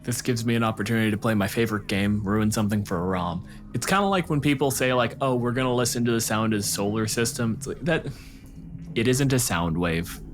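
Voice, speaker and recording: A man co-hosting a casual panel talk, explaining and arguing his points in a dry manner. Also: fairly low pitch. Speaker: podcast co-host discussing tabletop game monsters.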